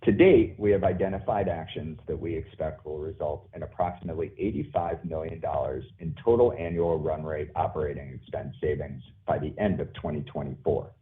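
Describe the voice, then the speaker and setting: A man reading a formal, measured corporate earnings presentation as a company executive. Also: extremely low pitch. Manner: formal, measured read delivery. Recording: muffled phone-like conference call line.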